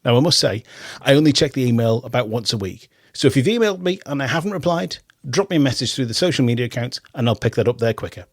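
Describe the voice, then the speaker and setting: A man's voice: mid-range voice, lively intonation, fast, few pauses. A middle-aged man hosting a theatre podcast, chatting casually and restarting on repeated words. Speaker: podcast host. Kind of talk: casual radio interview chat.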